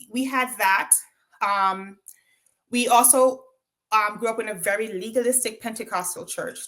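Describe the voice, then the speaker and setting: Bright-voiced Jamaican woman recounting her childhood. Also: mid-range voice. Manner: reflective, with frequent ums and tag questions of "right?". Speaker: guest. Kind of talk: personal storytelling in an interview.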